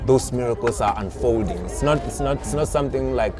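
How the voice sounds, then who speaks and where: A man's voice: mid-range voice, fairly quick, a loud crowd. A man in casual conversation, earnest and searching for words, often repeating himself as he explains. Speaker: interviewee, spiritual seeker. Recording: music playing loud behind.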